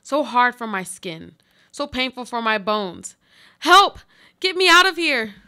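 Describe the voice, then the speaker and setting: A woman's voice: on the high side, very animated, unhurried, pushed and bright, clear, resonant tone, heavily acted. A woman playing a desperate trapped woman, pleading and reproachful in a dramatic radio play monologue. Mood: bitter, pained.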